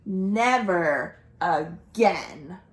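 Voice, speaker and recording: A woman voicing a melodramatic, excitable young male crocodile from a children's picture book, with an exaggerated, drawn-out delivery. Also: lowish voice, roomy sound, pitched below the performer's own voice. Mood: relieved.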